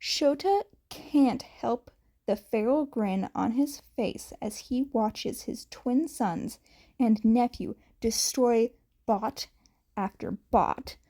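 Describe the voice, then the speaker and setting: Slow, mellow woman reading a story. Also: on the high side. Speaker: fan fiction reader. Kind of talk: scripted story narration.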